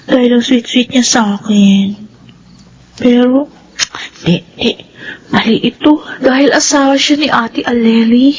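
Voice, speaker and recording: A woman in her twenties playing a young college graduate who narrates her own story in a radio drama, earnest and soft-spoken. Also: a little high, fairly even intonation, a little slow, pauses often, natural voice. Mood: troubled. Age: 20s. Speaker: narrator and lead character. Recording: muffled vintage radio broadcast.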